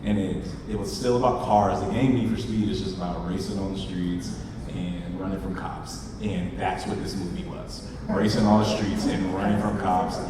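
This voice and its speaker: A man's voice: low voice, steady tone, moderate pace, background noise. A man co-hosting a casual fan panel, talking emphatically and repeating his phrases for effect. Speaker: panel co-host. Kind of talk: casual fan panel discussion about films. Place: large convention panel room with audience. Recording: distant mic, echoey hall, loud crowd.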